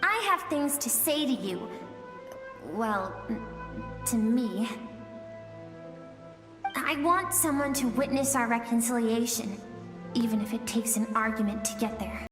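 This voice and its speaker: A gentle young woman with a high, unhurried voice, pleading in an earnest, heartfelt way. Her lines ring with a long, hall-like reverb. A clear musical score swells behind her. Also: animated. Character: gentle young woman, the warrior's softer inner self, earnest. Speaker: game character. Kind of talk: dubbed game cutscene acting. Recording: reverberant inner-world space with music.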